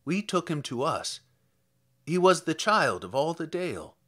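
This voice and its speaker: Man acting a slow, sorrowful old village priest. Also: a little high, sing-song, a bit bright, full character voice. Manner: measured, solemn storytelling. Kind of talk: dramatic poetry reading.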